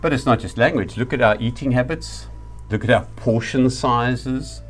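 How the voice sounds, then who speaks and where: A middle-aged or older man with a South African accent, giving a reflective lecture in a deliberate, emphatic manner. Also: mid-range voice.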